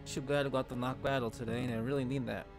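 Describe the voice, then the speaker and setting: A man's voice: medium pitch, level tone, rapid-fire, music in the background, lightly acted. A man voicing a brusque facility staffer, casually reading video game dialogue aloud. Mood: chatty. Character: brusque but apologetic male facility staffer.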